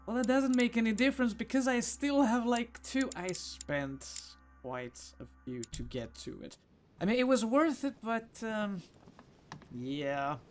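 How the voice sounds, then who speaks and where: A man's voice: extremely high pitch, very animated, a little slow, soft background music. A man giving casual, theatrical and exclamatory commentary while playing a video game.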